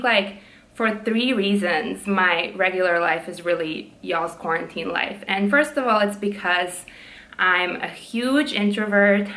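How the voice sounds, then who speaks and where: A young woman with a naturally loud voice, chatting casually at home while doing her nails, frank and fond of swearing, full of likes and ums, holding her voice down. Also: medium pitch, echoey room, rough recording. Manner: frank, swears freely, many "like" and "um" fillers; speaking softly to keep quiet. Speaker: vlogger, PhD student. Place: room at home.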